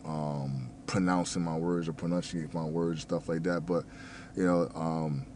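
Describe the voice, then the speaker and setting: A man in his thirties from New Jersey, talking casually about his work and plans, with a deep voice that tends to mumble, stumbling over words, full of fillers and curse words. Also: fairly even intonation, moderate pace, a little mellow, slight background noise. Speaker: restaurant manager and fitness vlogger. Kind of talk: casual rambling monologue.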